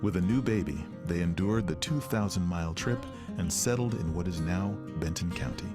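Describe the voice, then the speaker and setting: Male narrator, low-pitched, scripted history documentary read over clear guitar music. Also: normal speed. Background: plucked guitar music.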